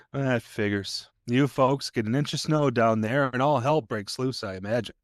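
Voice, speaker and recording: Male actor as rural sheriff's deputy, chatty, folksy, colloquial, medium pitch, audio play, dry recording. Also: no room sound, natural voice. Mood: folksy, easygoing. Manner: folksy, colloquial, unhurried storytelling. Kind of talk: naturalistic audio drama dialogue.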